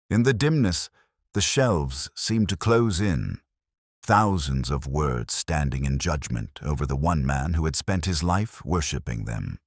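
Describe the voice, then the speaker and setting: A man playing a brooding, erudite police detective, narrating his case as a measured, melancholy, literary first-person mystery story. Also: extremely low pitch, dry recording, studio quality, heavily acted.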